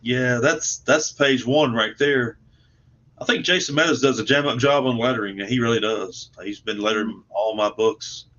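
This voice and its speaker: Folksy guy being interviewed, mid pitch, humble, kinda halting, dull lo-fi sound. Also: fairly bright tone. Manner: modest, folksy, halting with repeated words. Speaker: guest comic book creator. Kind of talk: casual interview chat. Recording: dull lo-fi call audio.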